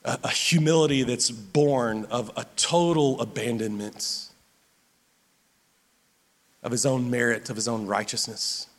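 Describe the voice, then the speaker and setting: A man preaching a sermon as pastor, with a loud voice, often stumbling over and repeating his words as he goes. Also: mid-range voice, measured pace, pauses often. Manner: stammering restarts, animated and repetitive. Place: church sanctuary. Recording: long hall reverb.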